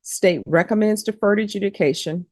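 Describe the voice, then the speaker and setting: A woman's voice: mid-range voice, normal speed, a little mellow, no echo. A woman judge questioning people formally and procedurally in a courtroom. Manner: procedural, spells out names. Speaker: judge presiding over a court hearing.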